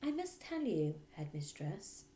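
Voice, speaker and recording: Woman playing an earnest, pleading schoolteacher, lively pitch. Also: measured pace. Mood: earnest protest. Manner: polite, pleading. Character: gentle, earnest young woman teacher, nervous but determined. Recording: roomy echo.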